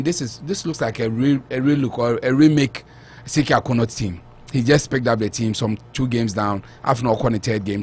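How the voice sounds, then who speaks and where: A man's voice: mid-range voice, husky. A man giving emphatic, critical sports analysis as a radio guest.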